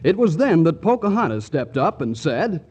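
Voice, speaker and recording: A male announcer from 1940s commercial radio. His highish voice is very animated, delivering folksy jokes and sales copy like a friendly pitchman. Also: moderate pace, slightly rough. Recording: vintage 1940s radio broadcast.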